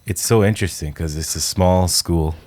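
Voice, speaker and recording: A man hosting a podcast interview, relaxed and encouraging, with lots of short affirmations. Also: deep voice, moderate pace, no echo. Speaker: podcast host. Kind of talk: casual interview chat.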